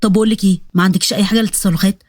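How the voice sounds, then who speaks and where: A woman with an Egyptian accent voicing a cowardly young male soldier with a lowered, whiny voice, pleading and dramatic. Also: steady tone, rapid-fire, darkish tone, dry recording, studio quality, close to an everyday voice. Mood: mocking.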